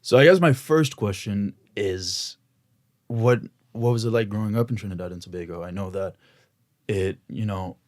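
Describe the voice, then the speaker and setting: A young man from Belgium hosting a podcast interview, asking questions in a hesitant, halting way. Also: on the low side, darkish tone. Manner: hesitant, halting, full of restarts. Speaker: podcast host. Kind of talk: conversational interview. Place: radio studio.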